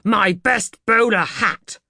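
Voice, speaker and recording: A woman's voice: medium pitch, takes it slowly, gravelly, pushed and bright, dry recording, clean studio sound, pitched above the performer's own voice, full character voice. A woman voicing an irate, blustering father in an audiobook, with indignant, emphatic delivery. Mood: furious. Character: irate, blustering middle-class father, grown man.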